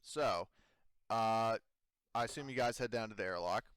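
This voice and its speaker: A man with a fairly low, smooth voice narrates a tabletop roleplaying session as game master. His delivery is casual and conversational, and the sound is close and dry. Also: moderate pace, fairly bright tone, no echo.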